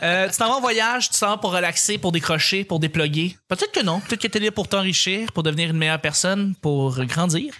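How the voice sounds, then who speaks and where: A man's voice: high-pitched, sharp tone. A man with a Québécois French accent, playful and teasing in casual talk with friends as a podcast co-host. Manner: playful, teasing, quick interjections. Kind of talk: casual chat among friends.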